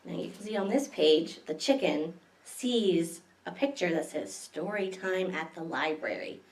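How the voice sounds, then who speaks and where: A woman's voice: lowish voice, lively intonation, measured pace, darkish tone. A woman reading picture books aloud to young children as a library story time host, expressively, with questions to her listeners. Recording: echoey room, distant microphone.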